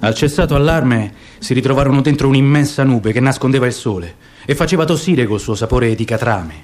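A man with an Italian accent narrating a literary radio drama in a measured, dramatic reading that lingers on details. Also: mid-range voice, a little mellow, slight background noise. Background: guitar music.

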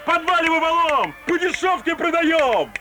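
An actor playing a cheeky, simple Russian fairground huckster in a theatrical folk-tale audio play, with rhyming barker's patter. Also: male voice, extremely high pitch, sing-song, normal speed, background noise. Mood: boastful hawking.

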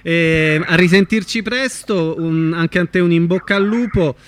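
A man's voice: high voice, a little slow, slight background noise. A man hosting a live radio interview, addressing guests and listeners. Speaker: radio host.

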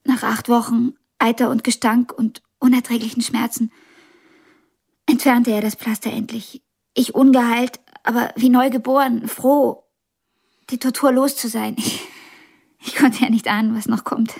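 An actress in a radio drama playing a blind young pianist, wounded yet ambitious and frank, with a halting, intense delivery. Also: female voice, fairly high pitch, little pitch movement, creaky, darkish tone, slightly rough. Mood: pained recollection.

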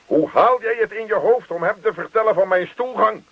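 Grumpy, indignant husband, muffled recording. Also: male voice, high voice, a little fast, husky, dry recording. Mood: outraged. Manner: indignant, sarcastic grumbling. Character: grumpy, indignant husband, easily exasperated and mocking. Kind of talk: radio play acting. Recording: muffled, dull radio play recording.

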